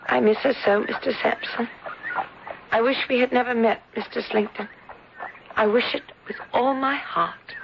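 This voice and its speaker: A woman's voice: a little high, fairly even intonation, normal speed, creaky. An actress playing a wistful, grieving young English lady in an old-time radio drama, speaking formally. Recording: muffled, hissy old radio broadcast.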